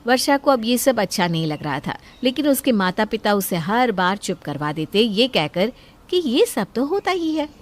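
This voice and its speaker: Woman narrating a family story from a script, kinda high voice. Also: big pitch swings, normal speed.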